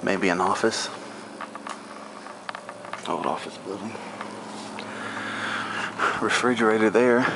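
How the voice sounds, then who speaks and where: Low-voiced man musing on a walk-through. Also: takes it slowly, long pauses. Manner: musing, speculative, low-key. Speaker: urban explorer filming. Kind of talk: casual walk-through commentary. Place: outdoors in an abandoned amusement park. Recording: loud outdoor noise on camera mic.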